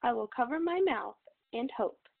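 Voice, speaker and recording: A woman's voice: on the high side, animated, moderate pace, gravelly. A woman reading the part of a hopeful, sorrowful enslaved man in a storybook, on a tinny recording. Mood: determined.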